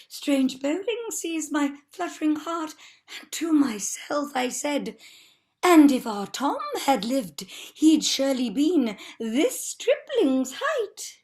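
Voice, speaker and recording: A woman playing an overwrought, tender mother in a mock-tragic stage play, declaiming melodramatically. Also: sits high. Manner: declamatory, swooning theatrical delivery. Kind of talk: mock-heroic stage tragedy reading.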